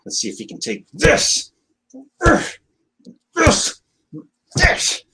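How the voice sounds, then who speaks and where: A spiteful young henchman from a 1940s-style radio crime play. He talks very slowly in tough slang, his pitch moving a lot. The manner is sullen and sneering. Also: wildly swooping pitch, bright, forceful tone, heavily acted. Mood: vicious. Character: sullen, spiteful young male hired gunman. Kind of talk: 1940s radio drama acting.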